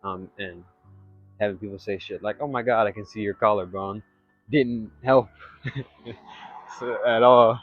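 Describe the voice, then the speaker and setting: Congested, soft-spoken young man rambling. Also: on the low side, steady tone, phone-quality sound, soft background music. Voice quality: congested, nasal from blocked nose; soft, not loud. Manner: halting, rambling, lots of filler words and restarts. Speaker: vlogger. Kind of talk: candid personal vlog monologue.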